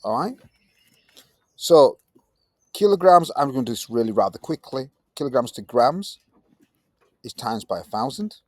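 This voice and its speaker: A man in his fifties with a Yorkshire accent, teaching a science lesson in a chatty, lively manner with British slang. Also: medium pitch, moderate pace, long pauses, no room sound, clean studio sound. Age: 50s. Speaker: tutor. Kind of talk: recorded tutoring lesson.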